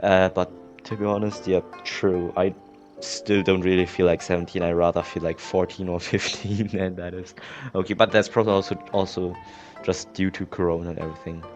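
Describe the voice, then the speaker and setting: A man's voice: sits low, little pitch movement, fairly quick, dull audio, soft background music. A teenage man giving casual, offhand commentary with teasing asides while playing a game. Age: teens, about 17. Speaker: gaming streamer.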